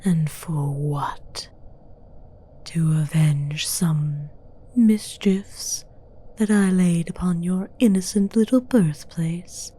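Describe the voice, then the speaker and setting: A woman voicing a haughty, seductive female demon villain in a whispered, breathy ASMR fantasy roleplay, speaking formally and with condescension. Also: fairly low pitch, mellow. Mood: scornful. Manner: slow, deliberate, condescending, formal.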